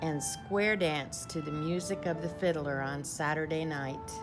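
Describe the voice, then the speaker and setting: A woman reading a children's picture book aloud like a teacher, with measured, deliberate storytelling and clear phrasing. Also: sits low, fairly even intonation, music in the background.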